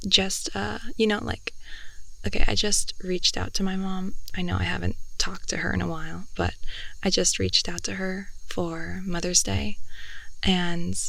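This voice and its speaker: A young, talkative girlfriend voiced by a woman rambles affectionately in an intimate ASMR roleplay. She takes her time, with soft fry in her voice and whispery dips. The sound is close and very dry. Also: medium pitch, no echo, exaggerated voice, poor audio. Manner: rambling, gossipy, giggly, often dropping into soft whispers. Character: chatty, affectionate young girlfriend on a camping trip. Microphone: close headphone-style 3D ASMR microphone.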